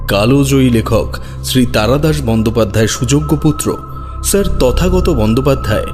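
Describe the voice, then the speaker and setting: A man, hosting a story channel and reading a scripted introduction. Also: medium pitch. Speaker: story channel host and writer.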